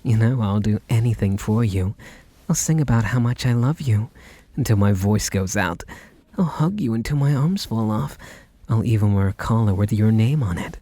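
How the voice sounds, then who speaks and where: A man's voice: fairly low pitch, moderate pace, warm tone, no room sound, natural voice. A man playing an eager, submissive, lovestruck butler who gushes earnestly and pleadingly in an intimate ASMR roleplay. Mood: devoted, pleading.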